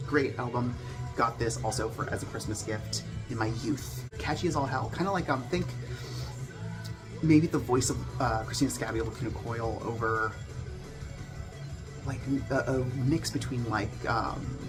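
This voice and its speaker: A young man hosting a music channel, chatting casually and rambling with lots of fillers and restarts. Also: medium pitch. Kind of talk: casual talk to camera. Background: metal music playing.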